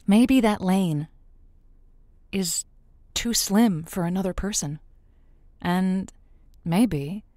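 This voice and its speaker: An actress playing a kind, encouraging woman who hosts an advice call-in show, with a gentle, folksy, reassuring manner. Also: on the low side, dry recording, studio quality. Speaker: radio advice host. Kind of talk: audio drama as call-in show.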